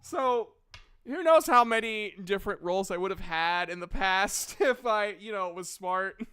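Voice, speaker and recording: Bright, clear-voiced man running a tabletop game. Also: high voice, lively intonation, normal speed. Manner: jokey, self-deprecating. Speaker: game master. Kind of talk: casual tabletop game narration and banter.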